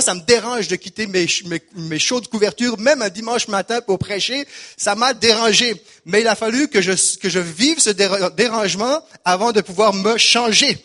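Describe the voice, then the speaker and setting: A man's voice: high voice, fairly bright tone. Swiss man preaching with a touch of Québécois. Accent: mixed Swiss French and Québécois. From Geneva, Switzerland. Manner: chatty and self-deprecating, frequent "euh" and word repeats. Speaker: pastor. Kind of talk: sermon.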